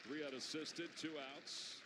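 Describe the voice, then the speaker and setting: A man's voice: fairly high pitch, fairly even intonation, a little slow. An American man calling a baseball game as play-by-play announcer and chatting with guests. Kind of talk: live baseball commentary and in-game interview. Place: outdoor baseball stadium. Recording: outdoor ballpark noise. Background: ballpark ambience.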